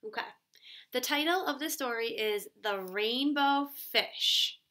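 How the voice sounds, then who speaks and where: A woman's voice: high-pitched, bright, forceful tone. A woman in her twenties, a schoolteacher explaining and reading aloud to young children with teacherly emphasis. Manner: clear teacherly emphasis, pauses for questions. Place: small room at home, kitchen.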